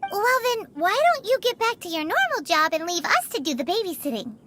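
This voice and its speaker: Paimon, a sassy tiny fairy. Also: female voice, extremely high pitch, big pitch swings, noisy background. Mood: cheeky. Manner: sassy and quick to retort. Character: small floating female fairy companion, cheeky. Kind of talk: game cutscene voice acting.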